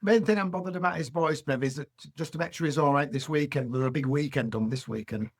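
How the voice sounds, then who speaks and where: A man's voice: on the high side, quick pace, breathy edge. A middle-aged man with a Yorkshire accent, a farmer guest chatting in a down-to-earth, rambling way. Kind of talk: casual chat.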